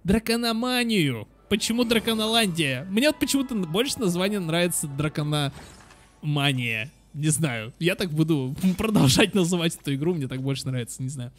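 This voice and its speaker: High-voiced young man, chatty gamer. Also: animated, normal speed. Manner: chatty, exclamatory, addresses viewers as friends. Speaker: gaming channel host. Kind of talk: casual game commentary for children.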